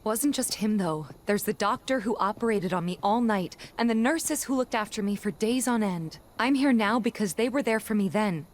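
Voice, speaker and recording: A woman's voice: mid-range voice, animated, normal speed, hardly any pauses, natural voice. An actress playing a guarded, earnest young woman in a naturalistic video game cutscene. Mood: defensive, indignant. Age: young adult. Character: young woman, earnest, guarded, hurt and defensive childhood friend.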